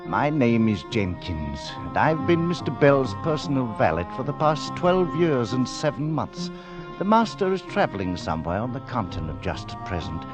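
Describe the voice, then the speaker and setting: An actor playing a proper, discreet valet in a 1940s radio drama, formal and precise. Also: male voice, mid-range voice, big pitch swings, moderate pace, close to an everyday voice. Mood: composed, respectful. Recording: muffled 1940s radio with music.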